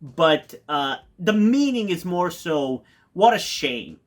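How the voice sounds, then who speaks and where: A man from Bulgaria, with Bulgarian as his first language, rambles through a TV review in a slightly raised voice. He stumbles over fillers and restarts, then piles on eager repeated words when something excites him. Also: fairly high pitch, slow pace. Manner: enthusiastic, repeats words for emphasis. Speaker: TV review YouTuber. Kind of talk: casual spoken TV review.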